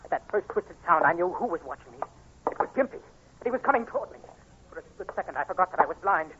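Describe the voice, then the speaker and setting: A man's voice: high-pitched, expressive, fast talker. An actor playing an earnest, anxious blind young man in a 1940s radio drama, with dramatic, intense delivery. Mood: tense narration. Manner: dramatic, intense, emotionally urgent. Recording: tinny, noisy 1940s radio recording.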